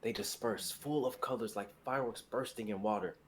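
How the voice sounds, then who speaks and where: A man's voice: lowish voice, brisk, slight background noise. A young man reading scripted dramatic fantasy narration for a livestream. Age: young adult.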